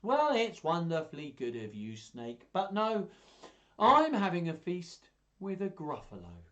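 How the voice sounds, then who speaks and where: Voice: mid-range voice, huge pitch swings, a little slow, fairly bright tone, muffled recording, voice pushed up. A reader voicing a small, clever mouse in a picture book, speaking in a prim, polite way.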